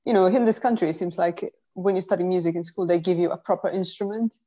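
Guest, woman from Sardinia, low mellow voice, wry and frank, dull lo-fi sound. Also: fairly even intonation, fast talker. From Sardinia, Italy. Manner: wry, frank, casual swearing. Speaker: musician guest. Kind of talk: casual chat.

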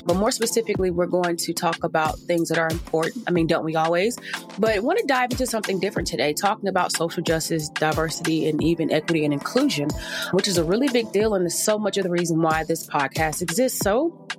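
A woman's voice: fairly low pitch, quick pace, over music. A woman hosting a podcast, chatting with enthusiasm and laughing often. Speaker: podcast host. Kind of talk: upbeat podcast chat.

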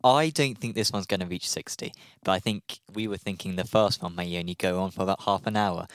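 A man co-hosting a racing podcast in casual chat, relaxed and hesitant with many fillers. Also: low-pitched, brisk, clear, resonant tone, no echo. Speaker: podcast co-host. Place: studio.

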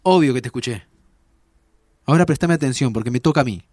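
A man's voice: mid-range voice, fast talker, darkish tone, no room sound, clean studio sound, lightly acted. A man playing a playful, frank, caring 25-year-old time traveller in a naturalistic audio drama, with an Argentine accent and a teasing, informal way of talking. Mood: warm reminiscing.

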